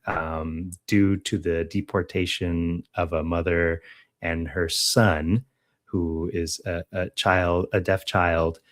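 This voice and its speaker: An American man co-hosting a podcast, casually talking through news in a halting way, correcting himself as he thinks aloud. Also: sits low. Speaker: podcast co-host.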